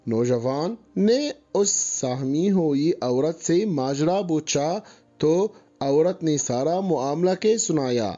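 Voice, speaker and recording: A man's voice: fairly high pitch, animated, mellow, dull audio, soft background music. A man narrating a scripted moral story as a storyteller.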